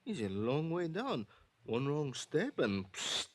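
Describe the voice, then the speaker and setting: An actor playing a loyal, earnest house servant with a Spanish accent and hesitant, stammering English in an old radio drama. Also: male voice, a little high, sing-song, slow pace, dry recording, exaggerated voice.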